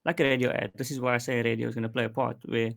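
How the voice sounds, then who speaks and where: A man's voice: medium pitch, little pitch movement, rapid-fire, gravelly, fairly bright tone. A man working as an audio editor, answering interview questions in a plain, matter-of-fact way with some fillers.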